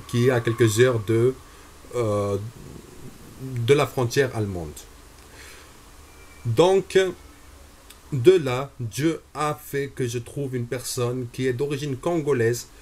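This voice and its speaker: Homme, 20s, from Algeria, unhurried testimony, hesitant, measured. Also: male voice, mid-range voice, darkish tone. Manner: slow and deliberate, with frequent hesitations. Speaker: convert giving a testimony. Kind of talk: personal religious testimony.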